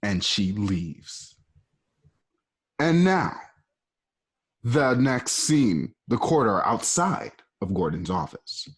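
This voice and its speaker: A man with a husky voice casually narrating stage directions for a radio play, adding asides. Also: fairly low pitch, slow, pauses often. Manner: casual, animated, adds asides.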